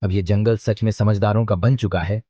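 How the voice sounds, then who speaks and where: A man voicing a wise old male owl sage in a cartoon story, speaking in a measured, instructive way. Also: on the low side, fairly even intonation, mellow, no room sound, lo-fi sound, close to an everyday voice. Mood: calm, wise.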